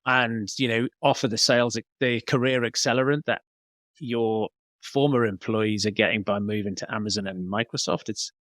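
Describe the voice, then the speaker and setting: A man's voice: lowish voice, fairly even intonation, normal speed, a bit bright, no room sound. Man explaining in a dry-sounding interview. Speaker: business coach, podcast guest. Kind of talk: conversational interview answers, explanatory.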